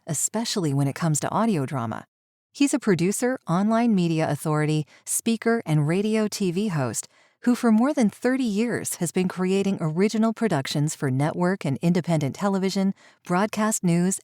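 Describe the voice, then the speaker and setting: A woman's voice: fairly low pitch, mellow, no room sound, studio quality. A woman speaking as a podcast host and interviewer. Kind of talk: scripted podcast introduction, then interview.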